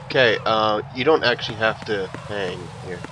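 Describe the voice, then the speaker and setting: A man giving low-key, halting, explanatory commentary as he plays a video game. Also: background noise. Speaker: let's play host. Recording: loud game music behind commentary.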